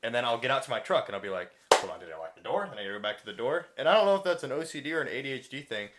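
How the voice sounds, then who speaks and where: Excitable, rambling man chatting. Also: mid-range voice, very fast. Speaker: podcast co-host. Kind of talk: casual chat.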